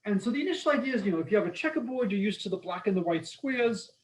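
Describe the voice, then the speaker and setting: A man's voice: sits high, expressive, rapid-fire, poor audio. A man with a Boston accent giving an academic math lecture, explaining slides in an informal, enthusiastic way with casual asides. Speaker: mathematics lecturer.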